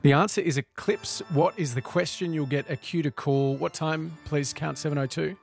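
A man hosting a breakfast radio show, brisk and upbeat. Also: fairly high pitch, gravelly, soft background music. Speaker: radio host.

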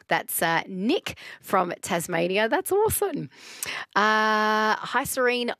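A woman's voice: mid-range voice, fairly bright tone, dry recording, clean studio sound. A woman hosting a radio show, chatting casually and reading out listener texts. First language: English. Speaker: radio host.

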